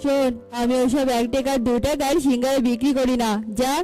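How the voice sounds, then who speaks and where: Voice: high voice, level tone, fairly quick, mellow, faint music behind, close to an everyday voice. A performer voicing a greedy, rude crow shopkeeper in a children's cartoon, haggling and scolding. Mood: scornful, irritated.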